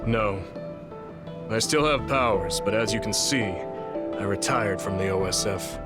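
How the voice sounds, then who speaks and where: Man acting a weary, low-voiced old retired hero. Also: a little slow, loud music behind the voice, close to an everyday voice. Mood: wary, recognizing. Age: older. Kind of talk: dramatic video game voice acting.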